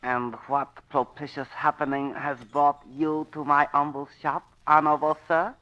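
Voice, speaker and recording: An actor playing a courteous, crafty merchant in a 1940s radio drama, formal and measured, with clipped grammar. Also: male voice, on the high side, level tone, natural voice. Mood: courteous, knowing. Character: courteous, crafty male Oriental merchant, coolly determined. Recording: dull, band-limited 1940s radio recording.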